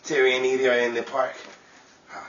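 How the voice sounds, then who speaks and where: A man playing an eager, easily excited, bumbling suitor in an old-time radio comedy, speaking in hesitant exclamations. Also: medium pitch, brisk, muffled recording, lightly acted. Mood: eager, flustered. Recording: roomy, distant mic with noise and crowd.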